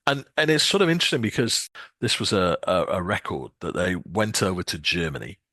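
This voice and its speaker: Low-voiced hesitant man. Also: lively intonation, a little fast, pushed and bright, dry recording, studio quality. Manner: hesitant, many restarts and ums. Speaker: podcast co-host. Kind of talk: casual music chat.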